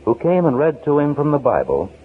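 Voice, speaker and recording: Solemn narrator on tinny old radio. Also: male voice, on the high side, huge pitch swings, a little hiss or hum. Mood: solemn, dramatic. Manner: solemn, dramatic, measured delivery. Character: grave, dramatic male storyteller of a true crime tale. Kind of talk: 1950s radio drama narration. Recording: old 1950s radio broadcast, narrow tinny band.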